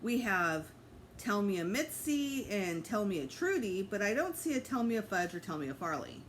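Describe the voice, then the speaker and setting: Storybook library clerk, read by a woman: young, female, kind, patient, softly soothing, lilting pitch. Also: big pitch swings, moderate pace, a little hiss or hum, lightly acted, rough recording. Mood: friendly, helpful. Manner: soft, soothing, encouraging.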